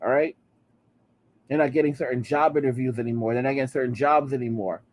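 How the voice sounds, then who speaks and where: A man's voice: medium pitch, steady tone, quick pace. A man in his late thirties hosting a commentary channel, ranting heatedly and repeating "all right?" between points. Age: 30s.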